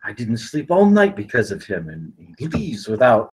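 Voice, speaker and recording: A male actor as a gruff working father with a rough, husky voice. His pitch moves a great deal as he speaks in curt, stammering bursts. It is dramatic script-reading, full of irritation and stress. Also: wildly swooping pitch, moderate pace, darkish tone, exaggerated voice, rough recording. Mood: grumpy. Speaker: actor at a table read. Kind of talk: live script table read.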